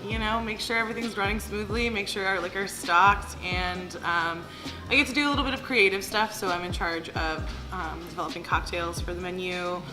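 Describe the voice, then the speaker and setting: A woman's voice: medium pitch, fairly even intonation, fairly quick. A woman who manages a bar, talking eagerly and chattily in an interview, with plenty of ums and you knows. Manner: chatty, eager, many fillers. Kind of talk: casual interview. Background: background music.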